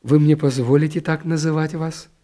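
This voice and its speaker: Man voicing a teasing young princess, lifted pitch. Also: steady tone, normal speed, mellow, natural voice. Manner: teasing, self-assured. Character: playful, candid young princess of twenty-one.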